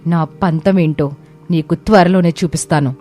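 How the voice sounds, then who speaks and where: Scheming, vengeful woman acted by a female reader, mellow. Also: low voice, fairly even intonation, moderate pace, soft background music, lightly acted. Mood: vengeful menace. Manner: menacing, emphatic. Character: scheming, jealous, vengeful woman villain of family drama.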